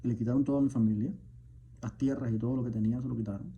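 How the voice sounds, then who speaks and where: A man, a physician, delivering an impassioned spoken message, indignant and firing off rhetorical questions, at times surging louder. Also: mid-range voice, steady tone, very fast. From Cuba. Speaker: physician, rheumatologist. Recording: dull lo-fi sound with faint background noise.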